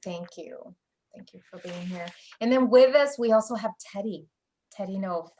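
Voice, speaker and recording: A woman's voice: medium pitch, moderate pace, dark tone. A woman hosting a video panel, hesitant and full of fillers as she introduces guests. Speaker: host, panel moderator. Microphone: video call.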